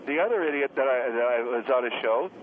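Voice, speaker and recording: A man's voice: mid-range voice, expressive, fast, voice pushed down, close to an everyday voice. A man playing an irascible, condescending scientist who harangues, goads and insults on a comic radio phone-in. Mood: exasperated ranting. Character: irascible, condescending male scientist, radio talk-show guest. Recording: tinny, noisy phone line.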